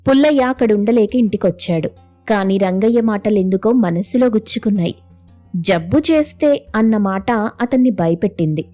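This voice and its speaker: A woman's voice: medium pitch, expressive, moderate pace, dark tone, soft background music. A woman narrating a scripted moral story as a storyteller.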